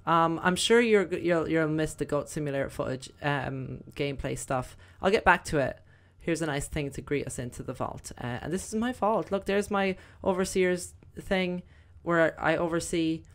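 Croaky-voiced woman rambling over gameplay. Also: low voice, normal speed, few pauses. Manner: chatty, rambling, many fillers. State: croaky, voice recovering. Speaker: gaming video host. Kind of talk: casual gameplay commentary.

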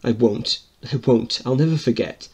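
A man's voice: medium pitch, normal speed, darkish tone, slightly rough, voice pushed up. A man voicing an earnest upper-class little boy with polite, pleading manners. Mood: tearful.

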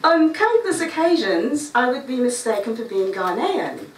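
A middle-aged Guyanese woman reads a prepared talk at a stand mic on a small stage, measured and reflective. She is heard from well back in a roomy space, with faint room hum behind her. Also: high-pitched, expressive. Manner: reflective, measured reading with asides. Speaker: writer giving a talk. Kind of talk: reading a prepared talk aloud. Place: small dark stage before a seated audience. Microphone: stand mic, filmed by a far camera. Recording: roomy, distant camera recording.

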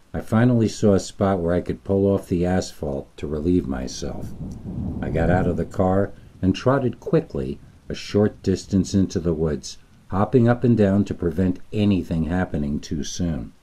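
Male horror narrator and host, low register, theatrical and deliberate storytelling. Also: mellow, breathy edge. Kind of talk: scripted scary story narration.

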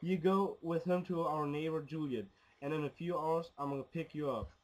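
A man's voice: on the high side, moderate pace, natural voice, poor audio. A man playing a proud, hot-headed gangster father in a cocky manner in an amateur radio play. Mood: stubborn.

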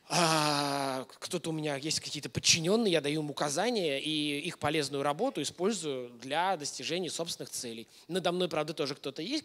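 A man's voice: on the high side, fairly bright tone. A man, likely in his thirties, lecturing conversationally to an audience in an informal way, often putting questions to his listeners. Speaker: lecturer giving a masterclass. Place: indoor hall, on stage before a projection screen.

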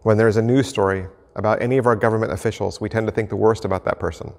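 A man in his fifties, an American pastor, preaching an earnest, measured sermon to camera from an empty church sanctuary. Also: on the low side, fairly even intonation, creaky, darkish tone. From United States. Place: empty church sanctuary, indoors, mid-sized. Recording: reverberant church hall.

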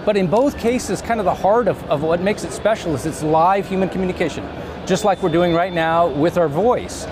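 A man in his fifties explaining things conversationally as an interview guest, in a measured, halting way with pauses. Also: high-pitched. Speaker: company CEO, interview guest. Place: trade show booth set, indoors. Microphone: lapel mic. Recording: clear background noise of busy trade show.

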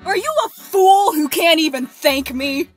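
A woman's voice: high voice, unhurried, soft background music. A woman voicing a boastful, haughty young devil woman in a comic dub, brash and foul-mouthed. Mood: indignant.

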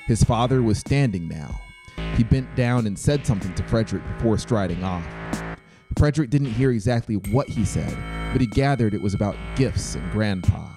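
A man's voice: on the low side, animated, normal speed, over music. A man in his thirties giving a scripted story narration close to a studio microphone. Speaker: audiobook narrator. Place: small brick-walled room indoors. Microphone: close studio microphone on boom arm.

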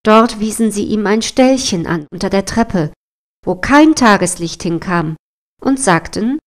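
Slow-paced woman storyteller. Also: medium pitch, expressive. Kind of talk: scripted fairy-tale storybook narration.

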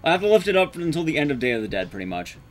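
A man's voice: on the high side, big pitch swings, rapid-fire, faint music behind. A young man giving casual, sweary, sarcastic live commentary as he speedruns a game. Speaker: speedrun streamer. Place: indoor room at home, streaming setup.

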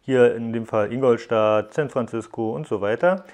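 A man presents a hands-on tech review in a casual, explanatory way. His voice sits at an average pitch for a man. He speaks straight to the viewer, guiding them through features as a reviewer would. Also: moderate pace, a little mellow. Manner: explanatory, addressing viewers directly. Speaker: app reviewer, host.

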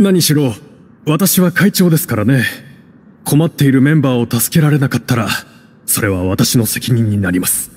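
An actor playing a middle-aged gentleman, a club chairman in an anime game, earnest, courteous and formal in his speech. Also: on the high side, breathy edge. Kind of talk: Japanese anime game voice acting. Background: music.

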